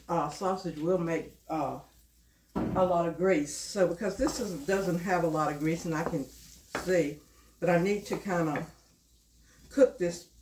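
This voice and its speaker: A middle-aged woman talks her viewers through a recipe at her stove, calling them y'all in a chatty, homey way. Her voice is stuffed up and a little nasal from a lingering cold. The kitchen sounds roomy, and a loud sizzle and frying rise from the pan behind her. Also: on the low side, a little hiss or hum. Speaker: cooking channel host. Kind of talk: casual cooking vlog.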